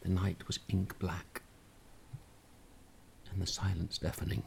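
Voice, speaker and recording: A man with a British accent playing a weary, exasperated husband in a dramatic monologue, with a husky, gravelly voice. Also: low voice, level tone, unhurried, a little hiss or hum, full character voice. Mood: bleak, grieving. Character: weary, exasperated, grieving husband telling his tragic story. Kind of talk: dramatic first-person monologue.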